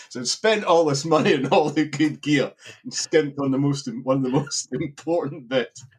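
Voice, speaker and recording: A middle-aged man from Scotland with an Edinburgh accent, a guest in a relaxed podcast interview who rambles and repeats words as he searches for them. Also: a little high, measured pace, slightly rough. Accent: Edinburgh Scottish. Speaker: guest angler.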